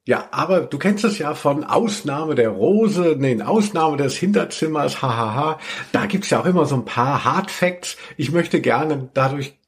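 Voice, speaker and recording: A man's voice: on the high side, expressive, measured pace. A man hosting a podcast in casual chat, rambling and often correcting himself as he goes. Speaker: podcast host.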